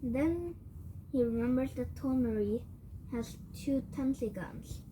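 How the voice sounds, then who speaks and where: Woman storyteller, high-ish and slow, voice tired and cracking, rambles and corrects herself as she goes. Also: warm tone, a little hiss or hum, rough recording. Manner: rambling, with frequent self-corrections; clears throat often. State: voice tiring and cracking. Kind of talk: improvised fan-fiction retelling.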